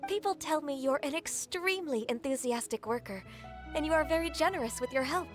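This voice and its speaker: An actress voicing a gentle, drowsy, polite young woman in a video game, soft-spoken and hesitant. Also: sits high, a little fast, natural voice. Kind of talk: video game dub acting. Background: faint background music.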